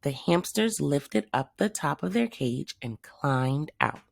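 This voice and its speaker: A woman narrates a children's story like a teacher reading aloud. Her low voice moves slowly and expressively, with wide melodic swings, in a dry, close sound. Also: expressive, creaky, dry recording.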